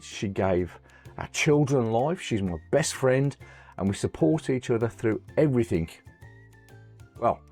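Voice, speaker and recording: A middle-aged man, hoarse from a cold, hosting a screen-recorded spreadsheet tutorial. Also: mid-range voice, darkish tone. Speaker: host of an Excel tutorial channel.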